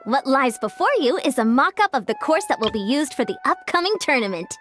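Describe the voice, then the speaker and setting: Upbeat young female designer, high voice, articulate, video game dialogue, faint music. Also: sing-song, fairly quick, fairly bright tone. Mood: inviting. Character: bright cheerful young woman course designer.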